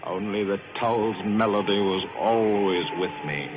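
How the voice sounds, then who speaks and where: An actor playing a guilt-haunted, paranoid shipwreck survivor in intense, melodramatic old-time radio suspense. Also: male voice, fairly low pitch, measured pace, natural voice. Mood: brooding, haunted. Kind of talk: old-time radio suspense acting, first-person narration. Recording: muffled vintage radio recording with music.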